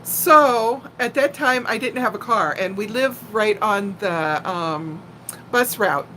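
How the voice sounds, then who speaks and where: A woman in her sixties chatting casually as a live stream host, telling personal stories in a playful, animated way. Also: mid-range voice, takes it slowly, a little hiss or hum, rough recording. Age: 60s. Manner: animated, playful storyteller who laughs easily and often. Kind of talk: casual chat and personal storytelling.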